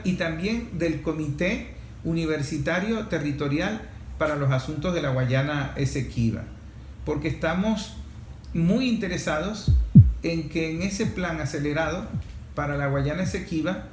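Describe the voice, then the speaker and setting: A man's voice: a little high, a little hiss or hum. Venezuelan man hosting, in a roomy echo. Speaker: programme host. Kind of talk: talk programme commentary. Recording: roomy echo, faint music behind.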